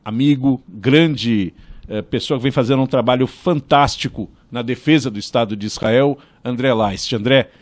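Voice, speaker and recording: Middle-aged Brazilian podcast host. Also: male voice, mid-range voice. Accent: Brazilian Portuguese, São Paulo. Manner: slow, friendly scripted welcome. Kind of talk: podcast hosting. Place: small studio with table and monitor. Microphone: boom-arm studio mic.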